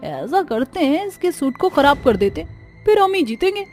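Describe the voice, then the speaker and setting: A woman's voice: high-pitched, big pitch swings, normal speed, darkish tone, faint music behind, pitched above the performer's own voice. A woman voicing an envious, scheming young college girl with a sly, conspiratorial manner in a storybook tale.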